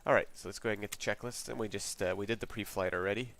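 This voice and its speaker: A man's voice: on the low side, racing, vocal fry. A congested man casually talking through a flight sim checklist as a streamer, rambling and reading each item aloud. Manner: relaxed, rambling, reads checklist items aloud. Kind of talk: casual walkthrough narration. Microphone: desk mic. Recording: noisy home mic recording.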